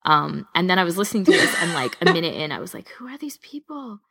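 A woman podcast co-host chatting casually in an informal, animated way, wandering into tangents as she gives recommendations. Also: fairly low pitch, fast talker, creaky, slightly rough. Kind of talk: casual chat, recommending audio fan fiction.

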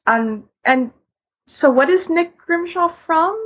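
A woman co-hosting a podcast, giving announcements and chatting casually in a husky voice. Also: very high-pitched, expressive, takes it slowly, fairly bright tone, dull audio. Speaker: podcast host.

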